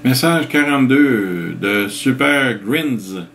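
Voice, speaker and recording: A middle-aged man with a Québécois accent talks into a webcam at home and answers viewer messages one after another. His voice sits at an ordinary mid pitch and his delivery is loose and unhurried. A light hiss runs under the recording. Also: creaky. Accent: Québécois French. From Quebec, Canada. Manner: relaxed, chuckling, explains step by step. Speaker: vlogger answering viewer comments. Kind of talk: casual chat reading out comments.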